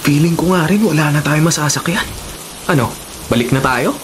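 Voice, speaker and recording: A man's voice: on the high side, lively intonation, normal speed, close to an everyday voice. Actor as a grumbling young Filipino man, rain behind. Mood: weary grumbling. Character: grumbling, doubtful young male travel companion. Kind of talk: radio drama acting. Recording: faint rain noise behind.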